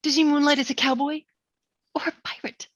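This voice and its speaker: A woman's voice: high-pitched, fairly quick, no room sound, highs cut off, natural voice. A woman playing a dry, exasperated small-town mayor with sarcastic delivery in a comedy table read. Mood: brisk, businesslike. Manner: sarcastic, deadpan.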